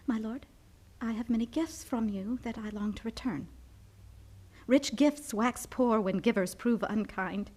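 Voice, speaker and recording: A gentle young noblewoman in a stage tragedy. Her voice sits fairly high, and she speaks in formal classical lines with a hurt, pleading manner. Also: a little slow. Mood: hurt, earnest. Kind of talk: classical Shakespearean stage acting.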